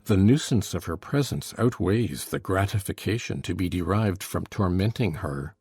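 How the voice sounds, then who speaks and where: An actor playing a scornful, cruel, brooding husband in a dramatized gothic novel, speaking with deliberate, sardonic contempt. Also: male voice, low-pitched, animated, a little mellow, slightly rough, soft background music, heavily acted. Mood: bitter disdain. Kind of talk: dramatized audiobook acting.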